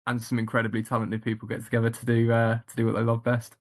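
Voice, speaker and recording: A man's voice: mid-range voice, completely flat delivery, quick pace. A male actor answering interview questions casually, hesitant with many ums and restarts.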